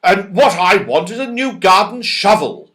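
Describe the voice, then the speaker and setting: A man's voice: high-pitched, big pitch swings, unhurried, a bit bright, voice pushed up, full character voice. A man voicing a practical, earnest father in a children's storybook, firm and emphatic.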